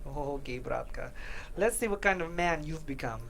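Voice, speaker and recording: A woman's voice: low-pitched, expressive, normal speed, creaky, a bit bright, slight background noise, heavily acted. A woman playing a blunt, cautious Russian immigrant in a table read, speaking broken English. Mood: skeptical, blunt.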